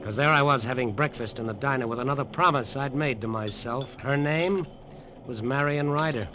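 A man's voice: mid-range voice, normal speed, lightly acted. Man playing a hardboiled American detective, noisy radio. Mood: wry, confiding. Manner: tough, clipped, slangy, sardonic. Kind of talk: 1950s radio noir acting, first-person narration and dialogue. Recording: noisy old radio drama recording.

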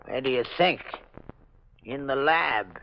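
An actor playing a frightened, paranoid lab attendant in an agitated, accusing, theatrical 1940s radio mystery style. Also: male voice, mid-range voice, slow pace. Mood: grim. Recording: dull, noisy old radio recording.

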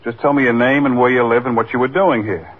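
A man's voice: mid-range voice, fairly even intonation, fast talker, close to an everyday voice. An actor playing a practical, impatient male patrolman in a 1950s radio drama, firm but reasonable. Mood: exasperated. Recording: muffled, tinny 1950s radio recording.

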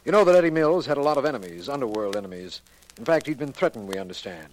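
A man's voice: mid-range voice, lively intonation, fast talker, lightly acted. A man playing an earnest, often puzzled crime investigator in a 1940s radio drama. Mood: businesslike. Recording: noisy 1940s radio broadcast recording.

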